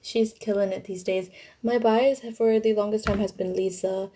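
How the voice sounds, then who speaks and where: A young woman talks casually to her livestream viewers in a soft-edged, mellow voice at a medium pitch. She answers questions in informal, youthful slang. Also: steady tone, normal speed, slight background noise. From Thailand.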